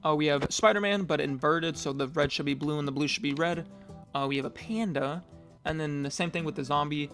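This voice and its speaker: A young man casually touring his game world, rambling and correcting himself, his voice getting hoarse. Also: a little high, a little fast, a bit bright, faint music behind. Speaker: gamer giving a world tour.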